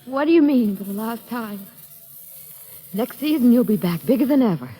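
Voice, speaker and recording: A woman's voice: fairly high pitch, lively intonation, moderate pace, dark tone, slightly rough. An actress playing a loving, devoted young wife who teases her husband affectionately, in 1940s radio acting style. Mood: tender. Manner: affectionate, teasing.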